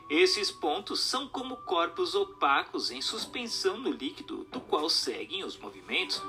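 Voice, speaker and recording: A man reading an expository text as a narrator, in a formal, measured, didactic way. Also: fairly high pitch.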